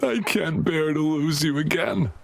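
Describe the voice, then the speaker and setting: A man's voice: on the high side, a little slow, creaky, dry recording. An actor playing a devoted, protective father in a video game, talking tenderly and anxiously. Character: devoted protective father, middle-aged man.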